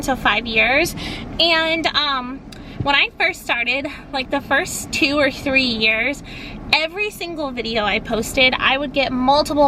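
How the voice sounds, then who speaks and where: A woman chatting casually as a craft vlogger in a nasal voice, with a bubbly, expressive, gushing manner. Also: high voice, noisy background. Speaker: craft and home decor YouTuber. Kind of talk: casual vlog chat.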